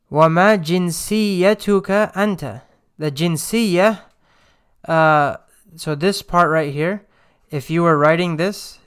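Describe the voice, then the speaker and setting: A man's voice: fairly high pitch, smooth. A young man teaching Arabic phrases from a textbook, patient and explanatory, often checking in with "right?" and "okay?".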